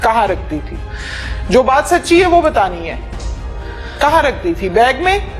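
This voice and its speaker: A woman's voice: on the high side, very animated, unhurried, soft background music. A woman questioning someone, persistent, sarcastic and scolding. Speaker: interviewer. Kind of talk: probing interrogation.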